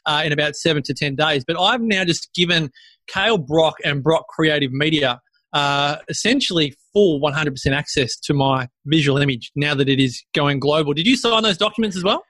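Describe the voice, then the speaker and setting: Upbeat bright-voiced podcast host. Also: male voice, fairly high pitch, dry recording. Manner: upbeat, hyping introductions. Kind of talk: casual podcast chat.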